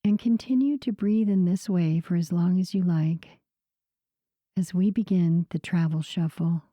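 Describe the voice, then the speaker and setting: A woman guiding a sleep meditation in a soothing, unhurried way, with a soft voice that turns breathy at the ends of phrases. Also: on the low side, no echo. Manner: soothing, unhurried, gentle pauses. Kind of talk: slow guided sleep meditation narration.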